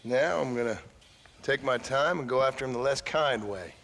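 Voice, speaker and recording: A man's voice: mid-range voice, moderate pace, fairly bright tone, lightly acted. An actor voices a world-weary frontier gunslinger for a video game, an American man of few words. He is courteous and dry in a middling register. Faint background noise runs under the voice. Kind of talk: video game cutscene acting.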